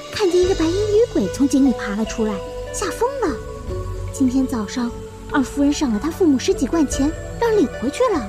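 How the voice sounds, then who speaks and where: A woman acting a gossipy, superstitious young maidservant in a Chinese period audio drama. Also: high voice. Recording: clear music behind the voice.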